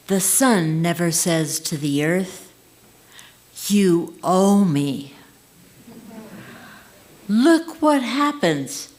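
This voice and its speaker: Casting: female poetry reader, slightly low register, extremely measured delivery. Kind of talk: slow poetry recitation.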